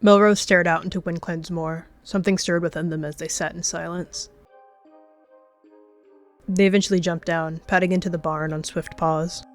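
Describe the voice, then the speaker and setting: Female roleplay narrator, slightly low pitch, storytelling delivery, light music bed. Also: fairly even intonation, moderate pace.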